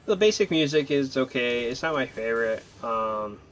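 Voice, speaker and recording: A man's voice: mid-range voice, expressive, normal speed, a bit bright. A man giving casual let's-play commentary in a laid-back, hesitant, rambling way. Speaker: gaming commentator.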